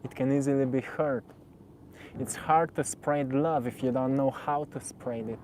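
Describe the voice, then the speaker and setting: A man in his twenties whose first language is Slovenian, with a warm, mellow, relaxed voice at a middle pitch. He talks gently and searchingly straight to his viewers. He circles back over his own phrases as he builds each thought. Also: measured pace, slight background noise. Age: 20s. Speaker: spiritual video host. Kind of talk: reflective spoken monologue.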